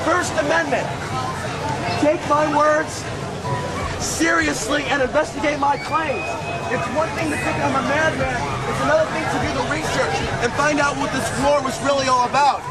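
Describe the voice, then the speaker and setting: An American man giving a strident, repetitive political street speech through a megaphone, outdoors. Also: very high-pitched. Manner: strident, declamatory, repetitive, confrontational. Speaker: street activist. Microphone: amplified megaphone caught by a bystander's camera. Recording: busy city street with music, traffic. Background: music, crowd, vehicles.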